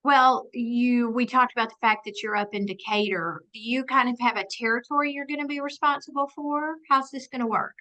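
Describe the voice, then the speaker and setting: Lady hosting an interview, bright voice, friendly questions. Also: fairly high pitch, steady tone, fairly quick, hardly any pauses, poor audio. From Alabama. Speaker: interviewer, association president. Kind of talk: conversational interview.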